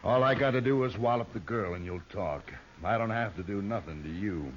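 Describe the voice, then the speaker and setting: Casting: male actor as bullying American outlaw gang boss, low voice, folksy taunts, radio western on a dull old recording. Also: a little fast, slight background noise, lightly acted. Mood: threatening. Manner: folksy drawling threats, taunting. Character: menacing, bullying male outlaw leader with a shotgun.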